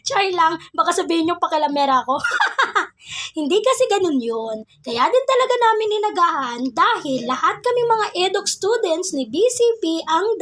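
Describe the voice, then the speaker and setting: Young woman, Filipino accent, extremely high voice, lively swooping intonation, playful vlog narration, giggly. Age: young adult. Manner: playful, giggly, mixes English into Tagalog. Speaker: vlogger. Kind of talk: scripted casual vlog narration with slang.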